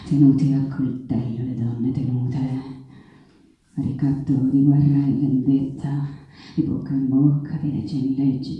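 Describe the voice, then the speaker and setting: Defiant doomed young heroine, slow poetic stage declamation, incantatory, heard from far off over live guitar. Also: female voice, a bass voice. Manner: incantatory, repetitive, declaiming in short broken phrases. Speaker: stage actress. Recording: distant, echoey hall, dull sound.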